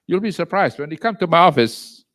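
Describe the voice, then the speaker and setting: An older man with a Malaysian accent talking as an interview guest, loud and emphatic, dropping in Malay words and leaping to high exclamations. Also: lively intonation, fairly quick. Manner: mixes in Malay words and phrases. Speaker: entrepreneur and executive chairman, interview guest. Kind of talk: interview over video call.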